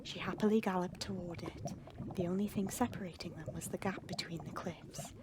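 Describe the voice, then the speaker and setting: A woman's voice: on the low side, fairly even intonation, brisk, background noise. A woman reading a dramatic scripted fantasy story as the narrator. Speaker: fan fiction narrator. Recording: music under the voice. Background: background music.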